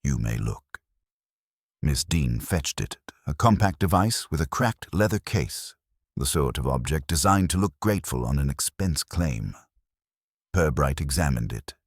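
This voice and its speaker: Very deep-voiced male storyteller, lively intonation. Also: moderate pace, husky, no room sound, studio quality. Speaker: narrator reading a detective story. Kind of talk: scripted literary narration with character dialogue.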